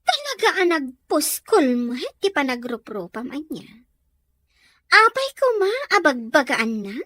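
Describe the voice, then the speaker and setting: Woman acting a shrill, haughty young rich daughter. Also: big pitch swings, unhurried, pushed and bright, no echo, full character voice. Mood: snappish, annoyed. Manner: snappish, scornful, stubborn. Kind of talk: radio drama acting.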